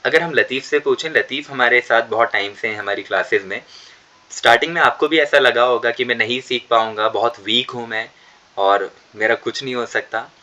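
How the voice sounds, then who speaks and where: Bright-voiced Indian English trainer, lo-fi. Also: male voice, medium pitch. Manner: slow, deliberate English model sentences. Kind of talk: online class teaching, mixing Hindi and English. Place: online session.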